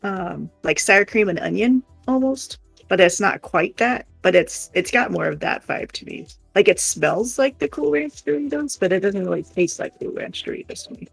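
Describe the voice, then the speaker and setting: Husky, giggly woman chatting casually. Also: mid-range voice, expressive, normal speed, hardly any pauses, faint music behind, poor audio. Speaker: live stream co-host. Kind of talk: casual livestream chat while crocheting.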